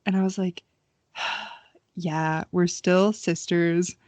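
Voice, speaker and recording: A woman's voice: lowish voice, little pitch movement, drawn-out words, a little mellow, no echo. Young American woman, playful, in dry sound. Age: early 20s. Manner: playful, joking. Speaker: podcast co-host. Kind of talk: casual chat.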